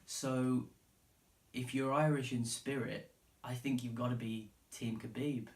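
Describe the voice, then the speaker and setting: An English man hosts a solo podcast in a loose, unscripted way, wandering through his opinions in informal language with plenty of swearing. His voice sits at an ordinary male pitch. The recording is echoey and sounds some distance from the microphone. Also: fairly even intonation, a little slow, a little mellow. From England. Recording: roomy, echoey, distant from the mic.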